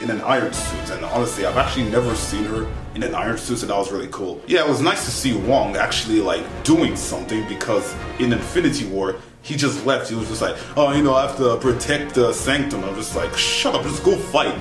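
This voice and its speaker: A young man giving a casual, animated spoken review to camera in a conversational, slangy way. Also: on the low side, fairly quick, hardly any pauses, reverberant, over music. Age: young adult. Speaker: film reviewer. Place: small wood-furnished bedroom, indoors.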